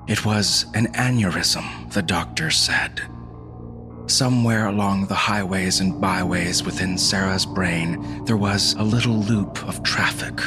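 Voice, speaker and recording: A grim, haunted husband telling a supernatural horror story. His voice is deep and he takes his time, narrating with grave suspense. Prominent music plays underneath. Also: sharp tone, exaggerated voice. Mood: somber, bitter. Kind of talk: dramatic scripted narration.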